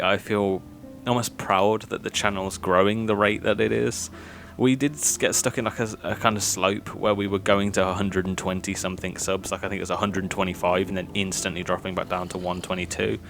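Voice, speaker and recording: A man's voice: low-pitched, background noise. Nervous young man with mild Birmingham accent. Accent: mild Birmingham English. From Birmingham, England. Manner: rambling, self-conscious, nervous laughter, frequent fillers. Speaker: gaming YouTuber. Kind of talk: casual gameplay commentary.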